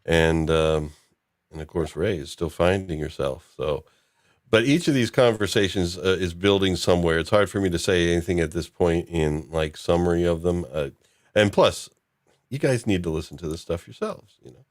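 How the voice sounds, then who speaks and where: Very deep-voiced man, casual and halting. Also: no echo, studio quality. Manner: halting, loosely improvised. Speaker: host of a discussion show. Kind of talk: casual recap discussion.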